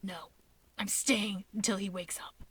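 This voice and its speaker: A woman voicing a defensive, awkward young male villain leader in an audiobook, speaking in a terse, breathy, insistent hiss. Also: mid-range voice, steady tone, unhurried, fairly bright tone, no echo. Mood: worried, stubborn.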